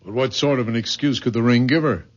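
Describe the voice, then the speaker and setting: A man's voice: mid-range voice, fast talker, close to an everyday voice. A dull, lo-fi radio recording carries an actor playing a direct, practical man. His mid-range voice is blunt and questioning, with a skeptical edge in classic mystery drama style. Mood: impatient. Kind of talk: old-time radio drama acting.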